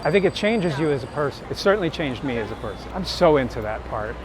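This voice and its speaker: A man's voice: mid-range voice, sing-song, quick pace. A man who works as a street photographer and teacher, talking casually and reflectively in an earnest, candid, introspective way. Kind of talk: reflective casual talk while walking. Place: outdoors on a busy city street. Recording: noisy city street with traffic. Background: street ambience and vehicles.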